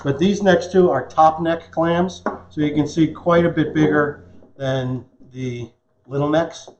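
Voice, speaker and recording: A man's voice: on the high side, steady tone, unhurried, noisy background. A man in his fifties hosting a live cooking demonstration in a casual, conversational, joking way. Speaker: chef and cooking show host. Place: home kitchen, indoors. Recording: echoey kitchen, dull muffled sound.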